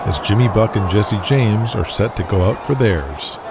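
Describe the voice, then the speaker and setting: A man's voice: lowish voice, expressive, normal speed, very noisy. A man narrating an audio drama in a dramatic, scripted, present-tense storytelling style.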